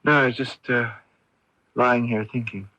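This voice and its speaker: A man's voice: mid-range voice, steady tone, normal speed, bright, forceful tone, no room sound, close to an everyday voice. An actor playing a troubled, dreamy young man in a radio drama, hesitant and bewildered. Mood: dreamy, pensive. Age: young adult. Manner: hesitant, wondering. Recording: muffled, dry AM radio tape.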